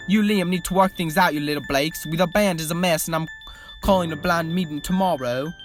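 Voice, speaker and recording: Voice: mid-range voice, brisk, natural voice. A frazzled young man trying to hold his band together, tumbling over his words and calling everyone lad and mate, as a reader puts him on. Music plays under him. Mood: anxious. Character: anxious young male pop singer calling everyone lad. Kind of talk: reading fan fiction aloud.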